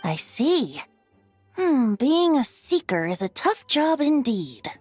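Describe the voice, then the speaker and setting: Lilting, carefree boyish bard. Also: female voice, high-pitched, very animated, slow pace, a little mellow, husky, no room sound, soft background music, exaggerated voice. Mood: curious. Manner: airy, playful, easygoing. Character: carefree, impish young male bard. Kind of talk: anime game voice acting.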